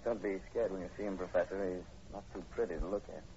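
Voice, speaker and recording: An actor playing a plain-spoken state police sergeant, halting and matter-of-fact, in 1940s radio horror style. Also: male voice, low-pitched, fairly even intonation. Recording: dull, noisy old radio broadcast recording.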